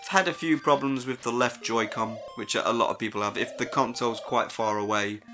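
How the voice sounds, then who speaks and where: A man's voice: medium pitch, brisk, music in the background. A man hosting a casual, unscripted gaming audio log, rambling with hesitant but enthusiastic, informal, sweary delivery.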